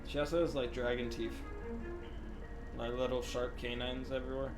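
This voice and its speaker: A man's voice: medium pitch, little pitch movement, normal speed. A man giving casual, informal live game commentary as a streamer, explaining things as he plays. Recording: loud game audio and music behind. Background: video game music and dialogue.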